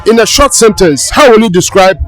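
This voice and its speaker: A man's voice: high voice, lively intonation, brisk, soft background music, a faint crowd. A man interviewing people outdoors at a funeral, talking loosely with lots of filler hesitations. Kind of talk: casual street interview. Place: outdoors at a crowded palace funeral.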